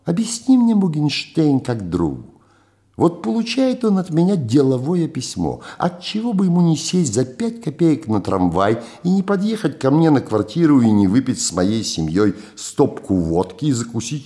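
A man's voice: medium pitch, very animated, moderate pace, dark tone, pitched above the performer's own voice, lightly acted. An older man voicing a swaggering Odessa gangster boss who talks in a theatrical, mock-reasonable way. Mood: mock-reasoning.

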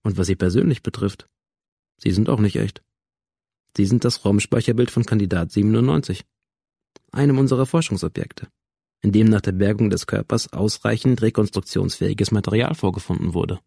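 Bureaucratic police scientist, radio drama dialogue, matter-of-fact, formal, dry close sound. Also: male voice, fairly low pitch, normal speed, vocal fry, warm tone, no room sound. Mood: clinical, formal. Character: coolly clinical male police researcher explaining a simulation.

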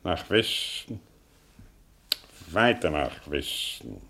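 An actor playing a broken, bitterly ironic professor in a German radio play, declaiming deliberately and theatrically. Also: male voice, a bass voice, very animated, creaky, bright, forceful tone, full character voice. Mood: desolate. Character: broken, bitterly ironic professor mourning his lost wife.

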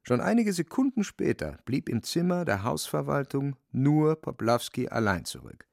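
A man narrating a literary radio play as scripted storytelling, with a creaky voice. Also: mid-range voice, wildly swooping pitch, moderate pace, darkish tone, no room sound, clean studio sound.

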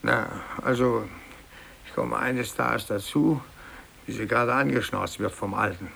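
A timid older clerk in an old radio drama. The voice is very gravelly and creaky, hesitant and fretful, full of self-pity. The recording is faintly hissy, with clicks. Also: male voice, mid-range voice, a little slow, a bit bright. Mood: worried, tender. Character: timid, nervous older male office clerk, self-pitying and solicitous. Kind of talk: 1950s German radio play acting.

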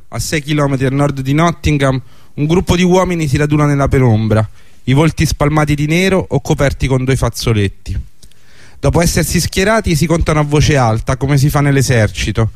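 A man's voice: medium pitch, no echo. A man reading a scripted historical narration for a radio drama.

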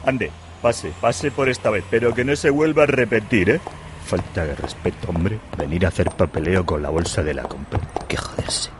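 A man's voice: fairly low pitch, moderate pace, close to an everyday voice. An actor playing a grumpy, scolding male official in a radio drama, bossy and colloquial in manner. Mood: irritated, scolding. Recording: clear street noise and cars behind.

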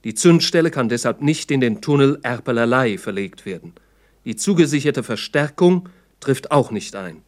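A man's voice: mid-range voice, expressive, moderate pace. A man giving scripted documentary narration in a radio play, sober and matter-of-fact.

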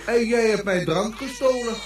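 A woman's voice: on the high side, moderate pace, lightly acted. A woman voicing a whiny, teasing female toy head in a dream, in a radio drama. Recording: echoey, distant, with music.

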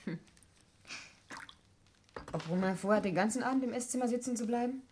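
An actress as a nervy young woman under suspicion, with a slightly high voice. She hesitates, then bristles with indignation. It sounds like a 1960s radio drama with light background noise. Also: a little fast, darkish tone, natural voice. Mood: anxious. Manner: hesitant, indignant when challenged. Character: anxious, defensive young heiress under suspicion. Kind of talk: 1960s German radio play acting. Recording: faintly noisy 1960s radio recording.

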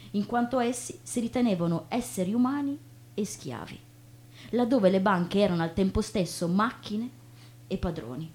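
A female stage reader performs literary prose dramatically. Her voice sits at a middle pitch, and she phrases each clause deliberately, leaning on key words. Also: a little mellow.

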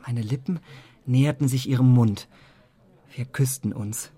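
An impressionable young romantic for radio drama. His mid-pitched voice is husky and soft-edged, and he speaks with earnest, rapturous feeling. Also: unhurried.

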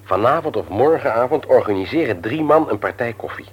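An actor in a Dutch radio play, playing a self-pitying, self-satisfied husband and office boss with a husky voice. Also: male voice, medium pitch, animated, a little fast. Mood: eager, indignant.

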